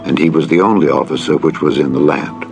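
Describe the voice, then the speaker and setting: Deep-voiced man solemnly reading scripture. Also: fast talker, vocal fry, faint music behind. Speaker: Bible narrator.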